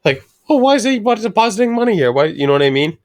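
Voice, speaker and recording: A man's voice: sits high, very fast, no room sound, studio quality. A man co-hosting a casual talk show, telling family stories with lots of slang and swearing, and acting out what others said. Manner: slangy, animated, acts out quotes of family. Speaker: podcast co-host. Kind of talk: casual chat with cursing and storytelling.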